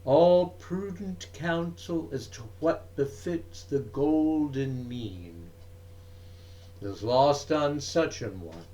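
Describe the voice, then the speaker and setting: A man recites poetry dramatically in a somewhat high voice. His pace is extremely slow and deliberate. He breaks the lines into short, emphatic phrases with frequent pauses. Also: dark tone, rough recording. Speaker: reader of poetry.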